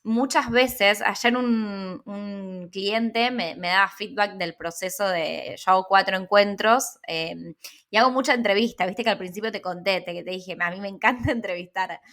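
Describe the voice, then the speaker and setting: Woman, Argentine accent, medium pitch, very bright tone, informal chat, repeats and rephrases while thinking aloud. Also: normal speed. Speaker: interviewer and personal branding consultant. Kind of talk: casual conversational interview.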